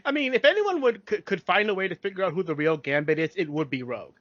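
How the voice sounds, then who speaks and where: A man's voice: high-pitched, lively intonation, fast, fairly bright tone, no echo, muffled recording. A man co-hosting a podcast, talking casually as he recaps a show. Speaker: podcast co-host. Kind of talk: casual chat recapping a TV cartoon.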